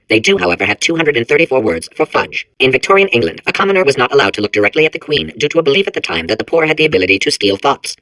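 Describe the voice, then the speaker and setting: Video game robot core: smug, malfunctioning know-it-all, animated, emphatic announcer delivery, very dry sound. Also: male voice, mid-range voice, no echo, poor audio. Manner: emphatic, crisply didactic, authoritative announcer delivery. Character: malfunctioning robot core reciting facts, smugly certain and eager. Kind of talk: rapid-fire fact recital, video game character.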